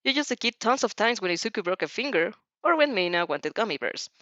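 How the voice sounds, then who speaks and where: A woman giving an expressive, emotive reading of a story as an amateur narrator, now and then stumbling over lines. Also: medium pitch, quick pace, dry recording, lo-fi sound. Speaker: amateur fan fiction narrator.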